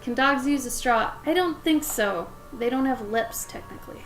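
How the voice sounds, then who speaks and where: Young woman, raspy voice, pitched a little high; chatty livestream artist, soft music underneath. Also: moderate pace, low-quality recording. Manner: chatty, rambling, talks to her dog and to chat. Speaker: livestreaming pet portrait artist. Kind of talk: casual chat while drawing on a livestream. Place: home art studio with plastic bins.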